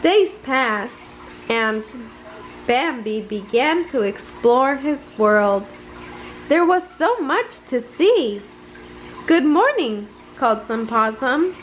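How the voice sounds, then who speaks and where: A woman reads a children's bedtime story aloud expressively, with some halting slips. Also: on the high side, lively intonation, a little hiss or hum, low-quality recording. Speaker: storybook reader.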